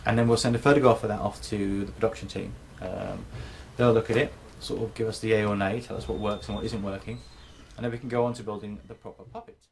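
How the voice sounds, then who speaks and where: A man's voice: on the low side, steady tone, quick pace, vocal fry, slight background noise. A man explaining his craft as a puppet maker in an informal documentary interview.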